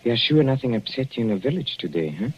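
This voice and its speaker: A man plays a jealous, murderous husband in a 1940s radio thriller. He lingers over sweet endearments and halting repetitions, all silky menace. The voice is low and sits in a muffled, noisy old broadcast recording. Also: sing-song, lightly acted. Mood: sly, needling. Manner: unctuous endearments, halting, repeats phrases softly. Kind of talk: 1940s radio suspense acting.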